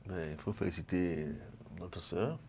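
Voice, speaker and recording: A man who works as a senior banking executive, a guest on a TV panel, speaking in a measured, formal way. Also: low-pitched, a little mellow, slight background noise. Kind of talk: TV panel discussion.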